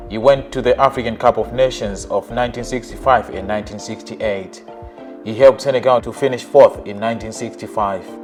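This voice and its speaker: Male narrator, mid pitch, steady scripted delivery, faint music under the voice. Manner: even, list-like reading of facts.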